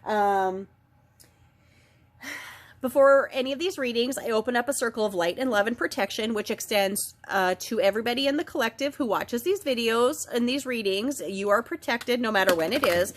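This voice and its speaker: Stuffed-up woman giving a gentle card reading. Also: on the high side, normal speed. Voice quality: nasal. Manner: chatty, gentle, with frequent ums and self-corrections. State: stuffed up with a head cold. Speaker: oracle card reader. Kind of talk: casual spiritual card reading.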